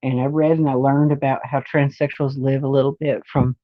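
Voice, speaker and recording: A woman's voice: rumbling low, flat delivery, a little fast, dry recording, muffled recording. A woman in her forties giving a casual, rambling personal monologue, often circling back to repeat points. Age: 40s. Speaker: vlogger sharing personal experience.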